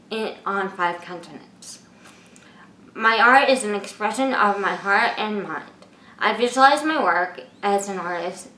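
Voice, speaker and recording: A woman in her twenties, an artist, narrating a scripted introduction to her personal video in a measured, read-aloud way. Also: medium pitch, bright, forceful tone, a little hiss or hum. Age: 20s. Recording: echoey room.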